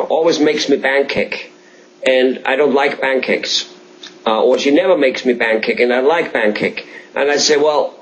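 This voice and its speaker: A man's voice: medium pitch, a little hiss or hum, rough recording. A man from Austria interviewing a doctor, talking in a rambling way with many fillers and restarts. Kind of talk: casual interview chat.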